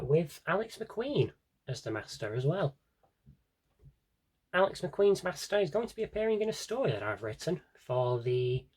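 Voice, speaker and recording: A man talks in a slightly rough, husky voice at a middling pitch. He presents like a hobbyist vlogger, casually and off the cuff. His delivery is wry and meandering, often interrupting itself as he thinks aloud. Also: low-quality recording. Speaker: collector showing his audio drama CDs. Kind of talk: casual rambling vlog chat.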